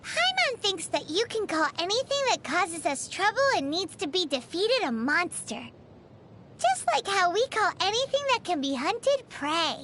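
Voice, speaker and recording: A woman voicing a tiny, childish, cheeky fairy companion in a video game, with a squeaky voice. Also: sing-song, unhurried, fairly bright tone, a little hiss or hum, exaggerated voice. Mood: puzzled. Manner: refers to self in third person, whiny, exclaiming. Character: tiny floating fairy girl companion, childish, cheeky, greedy, food-loving. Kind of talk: video game character acting.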